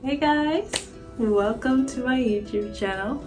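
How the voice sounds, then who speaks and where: A woman's voice: high voice, slow, roomy sound. A young woman from Zimbabwe, a vlogger talking casually to camera, chatty and a little self-conscious, often laughing. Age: young adult. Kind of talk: casual vlog monologue. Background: background music.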